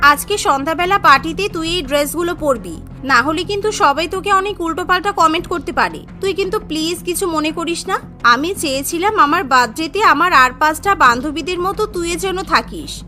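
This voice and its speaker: A very high, bright young woman, a protective and well-off friend in a story, acted by a female narrator. She is reassuring but insistent. Soft music sits behind the voice. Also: a little fast.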